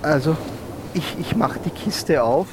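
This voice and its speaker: A man's voice: a little high, unhurried, very noisy. A man with an Austrian accent improvising in character in a role-play, hesitant and repeating himself. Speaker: player in an improvised audio-play adventure.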